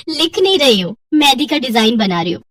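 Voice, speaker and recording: A woman's voice: high-pitched, big pitch swings, normal speed, a bit bright, no room sound, far from the mic, heavily acted. A woman voicing a humble, earnest young wife in a story, polite and eager. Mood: cheerful.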